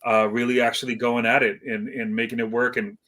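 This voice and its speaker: A man's voice: mid-range voice, level tone, fairly quick, bright, forceful tone. A middle-aged man from Iceland, a guest DJ in a casual interview, talking in a laid-back, modest way with many fillers and restarts.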